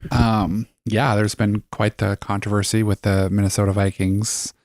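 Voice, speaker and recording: A man's voice: on the low side, flat delivery, normal speed, a little mellow, dry recording. A young man, co-hosting a casual podcast chat with dry, sarcastic humor. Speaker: podcast co-host.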